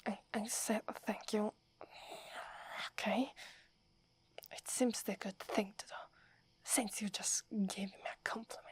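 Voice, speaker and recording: A woman's voice: mid-range voice, fairly even intonation, slow, pauses often. Woman whispering as a proud Italian werewolf girl. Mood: flustered. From Italy. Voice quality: soft breathy whisper. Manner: haughty but stammers when flustered. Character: proud wary young werewolf girl, tsundere. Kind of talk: whispered ASMR roleplay.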